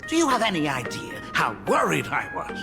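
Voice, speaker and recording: A doting, fretful academy dean and father in a video game. His voice creaks and swings widely in pitch, in a theatrical delivery. It rings in a long reverb with clear music behind it. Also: huge pitch swings, a little fast, full character voice. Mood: anxious, scolding.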